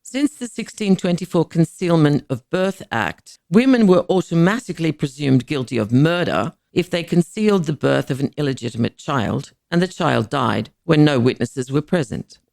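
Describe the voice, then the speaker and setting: A woman reads a scripted historical documentary in a measured, formal, deliberate way. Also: deep voice, expressive, gravelly, darkish tone, no echo, clean studio sound. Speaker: podcast narrator.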